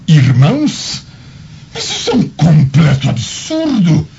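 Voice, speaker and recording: An actor playing a haughty, scornful Roman patrician in a radio drama, with pompous, theatrical delivery. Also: male voice, a little high, gravelly, a little mellow, highs cut off, slight background noise, exaggerated voice. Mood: incredulous scorn.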